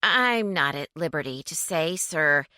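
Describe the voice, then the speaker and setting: A woman's voice: low-pitched, lively intonation, a little slow, pushed and bright, no echo, clean studio sound, full character voice. A woman voicing a tired receptionist with a nasal voice who answers the phone reluctantly. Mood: weary. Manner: weary, reluctant.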